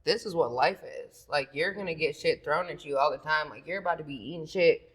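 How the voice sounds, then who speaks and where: A woman with a deep, raspy, sleepy-sounding voice, talking about singing as a podcast guest in loose, profane casual chat. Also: fairly quick, a bit bright. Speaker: singer guest.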